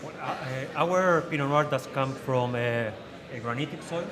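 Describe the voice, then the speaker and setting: A man with a Chilean Spanish accent, speaking on a wine panel, halting and searching for words. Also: mid-range voice, a crowd in the background. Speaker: winemaker panelist. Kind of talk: panel discussion. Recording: distant mic in a reverberant hall with audience.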